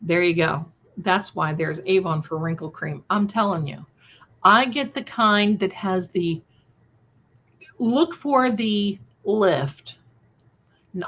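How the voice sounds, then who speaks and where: Bit dull sounding stream audio, lady in her 60s chatting slow and casual w viewers, affectionate, calls ppl pet names. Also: fairly low pitch, fairly bright tone. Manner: chatty, affectionate, addresses listeners with endearments. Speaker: livestream host. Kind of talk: casual live chat with viewers.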